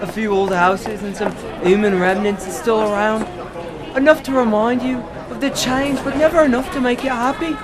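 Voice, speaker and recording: An actor playing a boozy old ex-doctor in a 1940s radio drama, rambling philosophically in florid, lecturing speeches. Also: male voice, extremely high pitch, fairly even intonation, normal speed, crowd noise. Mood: tipsy, wistful.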